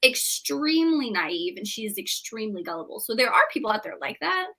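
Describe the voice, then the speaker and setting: A woman with a fairly high, very bright voice chats informally about books. She is talkative and conversational, dropping "like" into her sentences often, as a panelist on a relaxed live show. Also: brisk. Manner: chatty, peppers talk with "like". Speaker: book club panelist. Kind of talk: casual book chat on a live show.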